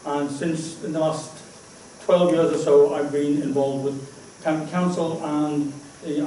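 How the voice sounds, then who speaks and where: An older man from England, speaking loudly and confidently at a hustings without a microphone. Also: mid-range voice, recorded from a distance. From North East England. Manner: loud, self-assured. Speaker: councillor and candidate. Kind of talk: public hustings speech. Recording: very echoey hall, no mic, faint noise.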